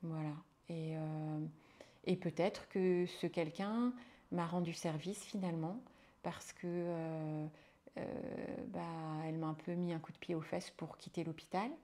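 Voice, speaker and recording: A woman's voice: lowish voice, steady tone, unhurried, warm tone. A woman, a midwife turned hypnotherapist, talking in a casual interview with many fillers and restarts.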